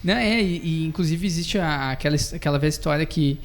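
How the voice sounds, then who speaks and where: A man's voice: fairly high pitch, quick pace. A young man, co-hosting a casual podcast chat, telling stories with enthusiasm, slang and lots of fillers. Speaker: podcast co-host.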